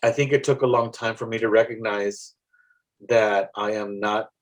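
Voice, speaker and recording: Low-voiced South Texas man, reflective. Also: steady tone. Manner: reflective, halting, with many restarts and fillers. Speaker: poet guest. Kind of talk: casual interview chat.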